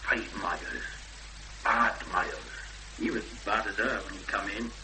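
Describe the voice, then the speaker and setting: A man's voice: fairly low pitch, expressive, a little slow. An actor playing a blunt, agitated man speaking in short, urgent phrases in a 1970s radio drama. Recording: noisy old radio broadcast with hum.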